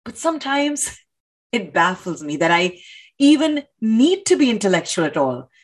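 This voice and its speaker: A woman's voice: medium pitch, very animated, normal speed, slightly rough. An actress playing an earnest, intellectual woman who challenges authority, speaking articulately and emphatically in dramatic dialogue. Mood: earnest, pained. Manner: articulate, emphatic, rhetorical questioning. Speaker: actor in a stage play reading.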